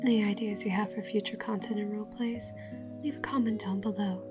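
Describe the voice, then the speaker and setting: A woman ASMR creator addressing her viewers in a soft, breathy whisper. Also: mid-range voice, fairly even intonation, fairly quick, over music.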